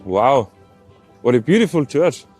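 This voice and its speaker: A man presents casually to camera with a very animated, swinging intonation at a medium pitch. There is faint music behind his voice. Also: unhurried. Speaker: video presenter. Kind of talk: casual on-location video presenting.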